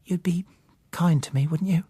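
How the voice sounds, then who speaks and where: Audiobook role: male narrator as a timid eighteen-year-old girl, high-pitched, hesitant and pleading, close dry recording. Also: moderate pace, dark tone, husky, no room sound. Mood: gentle pleading. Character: timid, trembling blind eighteen-year-old young woman. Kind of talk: audiobook character dialogue.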